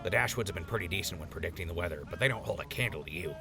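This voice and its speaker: A man's voice: low voice, lively intonation, very fast, vocal fry. An actor playing a laid-back male radio DJ in a post-apocalyptic wasteland, chatty and rambling. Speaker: radio host character. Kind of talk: audio drama acting.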